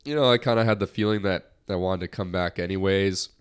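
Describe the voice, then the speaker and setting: A man's voice: sits low, a little fast, smooth. A young man hosting his own podcast in a lighthearted, rambling way, slightly nasal from a recent cold. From United States. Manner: lighthearted, rambling, many restarts. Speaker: podcast host. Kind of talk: casual solo podcast talk.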